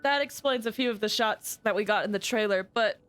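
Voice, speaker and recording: A woman streamer chatting casually over a game, with emotional, expressive, gushing reactions. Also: medium pitch, fairly quick, soft background music.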